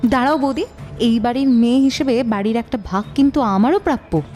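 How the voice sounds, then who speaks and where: An actress playing a wronged, indignant married sister in an audio drama, accusing and insistent. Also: female voice, on the high side, fairly quick, darkish tone, soft background music, close to an everyday voice. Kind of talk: audio story drama acting.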